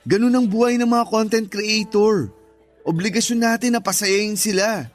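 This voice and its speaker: Actor as young Filipino boyfriend, defensive yet eager to please, high male voice, radio drama over music. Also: fairly even intonation, normal speed, close to an everyday voice. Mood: defensive, placating.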